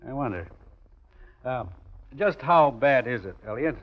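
An actor playing a shrewd, commanding gang boss in a 1940s style radio drama. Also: male voice, mid-range voice, lively intonation, close to an everyday voice. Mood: cool, probing. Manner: brisk, takes charge. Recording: muffled old radio broadcast recording.

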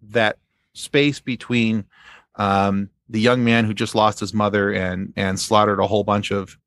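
A man speaking as a podcast guest in a casual fan discussion, explaining things in a halting, rambling way. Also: lowish voice, level tone, a bit bright, no echo, studio quality.

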